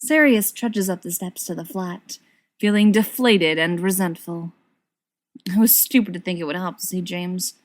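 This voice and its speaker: A woman reading scripted fiction aloud as an expressive narrator. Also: on the low side, normal speed. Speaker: podfic reader.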